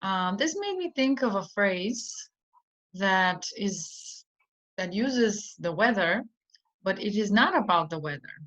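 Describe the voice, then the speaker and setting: A woman's voice: mid-range voice, expressive, takes it slowly, a bit bright. A woman teaching an English idiom in an online lesson, speaking patiently with simple explanations and frequent ums. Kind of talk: slow online vocabulary lesson. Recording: dull lo-fi recording.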